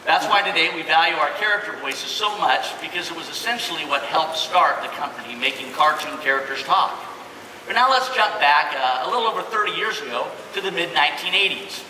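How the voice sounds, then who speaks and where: A man's voice: on the high side, lively intonation, a little fast, bright, forceful tone. A middle-aged man giving a prepared presentation as host on a large stage. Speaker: presenter, company executive. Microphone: microphone through hall PA. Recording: echoey hall from far off, crowd, noise.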